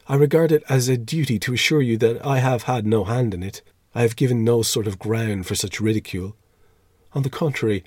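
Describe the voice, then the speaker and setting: A man acts out a fussy older schoolmaster from a storybook, timid and easily scandalised. The voice climbs high as he moralises in anxious, formal pleas, recorded dry and close. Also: darkish tone, husky, no room sound, close to an everyday voice. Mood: mournful, solemn.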